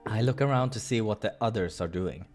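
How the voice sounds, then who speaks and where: A man hosting a playthrough, reading a visual novel aloud in an expressive way with casual asides. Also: fairly low pitch, a little fast, faint music behind. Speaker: let's-play host.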